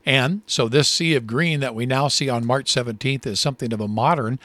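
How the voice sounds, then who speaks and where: A man co-hosting a radio show, chatting and reading ad copy with lively stresses. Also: medium pitch, a little fast, no echo. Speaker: radio co-host. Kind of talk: radio show chat and read ads.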